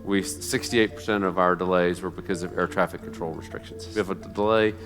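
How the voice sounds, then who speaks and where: A man's voice: low-pitched, steady tone, fairly quick, over music. A man speaking as an executive, making public remarks.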